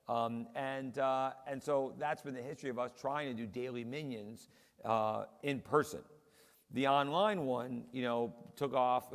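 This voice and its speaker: Male rabbi, conversational storyteller, mid pitch, long hall reverb. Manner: chatty storyteller, many fillers. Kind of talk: casual panel conversation. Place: large synagogue hall.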